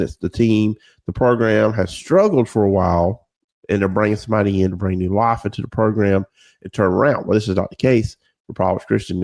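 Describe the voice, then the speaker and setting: A man's voice: lowish voice, vocal fry, darkish tone, no room sound. A man, congested with a cold and slightly nasal, hosting a sports podcast in a casual, conversational way. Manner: informal, conversational. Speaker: podcast host.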